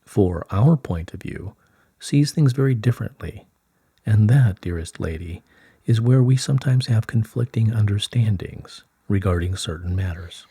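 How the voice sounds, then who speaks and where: A man reading as a serene collective of higher light beings, in a stately, formal, measured manner. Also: expressive, mellow, husky, no echo, exaggerated voice. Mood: serene, explanatory. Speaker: reader of channelled messages. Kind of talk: formal, scripted channelled-message reading.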